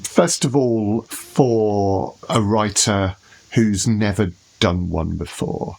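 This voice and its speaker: A man with a moderately low voice hosts a relaxed conversation. He offers thoughts and questions haltingly, working out his phrasing as he goes. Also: gravelly, low-quality recording. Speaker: podcast host. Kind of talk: casual discussion, questioning.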